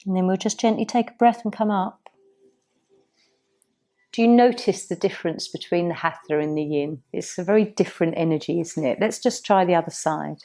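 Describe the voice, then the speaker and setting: A woman teaching a yoga class, guiding the poses and breathing in a soft, soothing, unhurried way. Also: fairly low pitch. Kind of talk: guided yoga class instruction.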